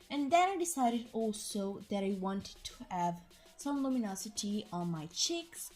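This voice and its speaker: A young woman who is losing her voice, narrating a makeup tutorial voiceover in a chatty, enthusiastic way. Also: medium pitch. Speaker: beauty vlogger. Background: soft background music.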